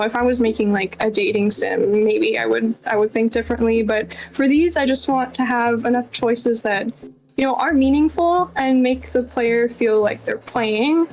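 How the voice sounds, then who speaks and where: A woman's voice: on the high side, poor audio. A woman giving casual, chatty interview answers as a game developer, with lots of informal asides. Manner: chatty, informal, many "like" and "you know" asides.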